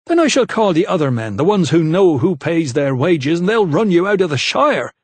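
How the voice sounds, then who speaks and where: Sneering steward, haughty, barking, loud, pitched high, as a male narrator puts him on. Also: lively intonation, moderate pace, breathy edge, no echo. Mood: scornful.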